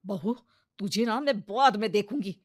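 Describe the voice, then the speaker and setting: A woman playing a stubborn, hot-tempered village mother-in-law in a comic family story, loud and theatrical. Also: mid-range voice, animated, moderate pace, dry recording, clean studio sound, natural voice. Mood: angry.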